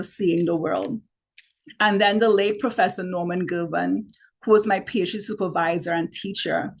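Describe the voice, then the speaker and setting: A Trinidadian woman with a Trini accent giving an earnest academic talk on a webinar, with frequent "you know" fillers. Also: mid-range voice. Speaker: guest speaker, academic editor. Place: online webinar.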